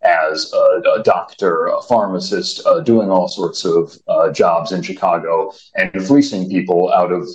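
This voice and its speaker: A man's voice: low-pitched, steady tone, a little slow, few pauses. An actor, a man, explaining things as an interview guest, with muffled, roomy sound.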